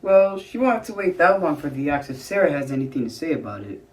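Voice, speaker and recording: Dryly joking male player played by a man, fairly high, distant pickup, 1940s radio play. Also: big pitch swings, fast. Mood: wry. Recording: distant from the mic.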